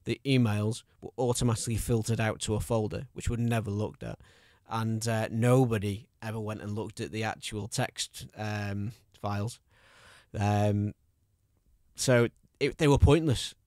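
A man's voice: on the low side, measured pace, darkish tone, no room sound, clean studio sound. A man hosting a podcast interview, talking casually with many hesitations and fillers, self-deprecating. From North Wales border area. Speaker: podcast host.

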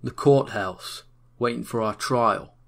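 A brash young newsboy, played by a man, quick with a wisecrack and full of street slang. His voice sits in a mid range and is recorded plainly. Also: medium pitch, vocal fry. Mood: casual. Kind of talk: fan audio drama.

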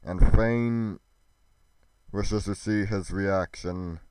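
Man playing a solemn, righteous god, drawn-out delivery. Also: low-pitched, a little mellow, smooth, dry recording, low-quality recording. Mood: concerned. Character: grave, righteous male god vowing to restore order. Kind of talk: amateur scripted roleplay.

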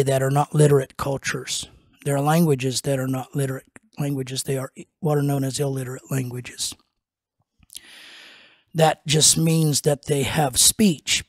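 Older man, pastor hosting a podcast, husky voice, dry close sound, rambling unscripted teaching. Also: medium pitch, no room sound. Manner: slow, rambling, many fillers and restarts. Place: small wood-paneled room indoors. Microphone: studio mic on a boom arm.

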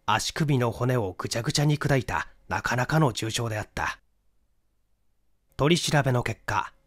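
A man narrating a mystery story from a script in an expressive storytelling manner. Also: fairly high pitch, no room sound, clean studio sound. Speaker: audiobook narrator, stage actor.

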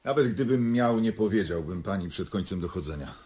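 An actor playing a reserved, skeptical prosecutor in a radio play, questioning in a dry, guarded way. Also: male voice, fairly low pitch, lively intonation, a little fast, dark tone, slightly rough. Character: reserved, skeptical male public prosecutor on a murder case.

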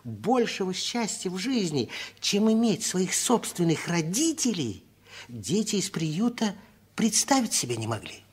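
A man narrating a children's radio play in an expressive, deliberate 1960s storytelling style. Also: high-pitched, husky.

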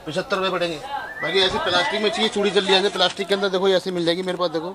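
Fast, high-voiced man rattling off prices. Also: little pitch movement, over a noisy crowd. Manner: rapid, repetitive price-counting patter. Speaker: wholesale shop owner. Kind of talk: sales pitch, listing prices. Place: small indoor shop crowded with shelves. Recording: noisy shop recording.

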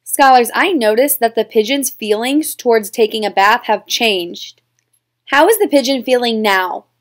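A young woman, a teacher, explaining a story to small children in simple, expressive talk. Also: fairly high pitch, moderate pace, echoey room. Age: young adult. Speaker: elementary school teacher. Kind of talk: read-aloud discussion addressed to young children. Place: small plain room indoors.

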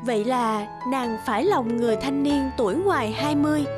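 Female storyteller, high register, scripted folk-tale narration over loud children's music. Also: brisk.

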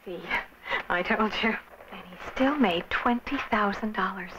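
A woman's voice: mid-range voice, a little slow, noisy background, close to an everyday voice. An actress playing a fussy, doting middle-aged mother, effusive and exclamatory, in a 1970s educational film. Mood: astonished, proud. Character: fussy, doting, chatty mother of a grown son. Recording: dull old film soundtrack with music.

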